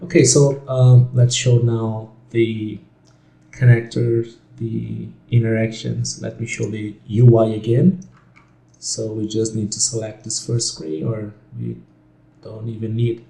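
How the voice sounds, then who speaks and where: A man gives a relaxed design tutorial, thinking out loud and correcting himself along the way. His voice is low, dark and gravelly, and his delivery is slow. The sound carries noticeable room echo. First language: Portuguese. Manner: relaxed, thinking aloud with fillers and self-corrections. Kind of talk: screen-share software tutorial.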